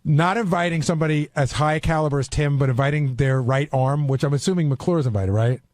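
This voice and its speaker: Male radio regular, casual and probing, rapid delivery, slightly high voice, dry studio. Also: darkish tone, dry recording. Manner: probing, pointed. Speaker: radio show regular asking questions.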